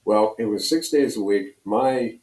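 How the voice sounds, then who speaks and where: A man's voice: lowish voice, measured pace, darkish tone. An older American man from Pennsylvania reminiscing casually as an interview guest, telling rambling anecdotes. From Pennsylvania, United States. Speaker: guest, retired radio personality. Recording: roomy echo, distant from mic.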